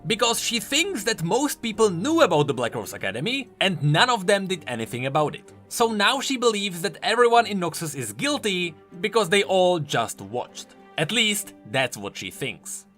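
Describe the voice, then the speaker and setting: A man's voice: sits high, sharp tone. A man presenting explanatory video commentary on game lore, informal and emphatic in his delivery. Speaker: YouTube game lore host.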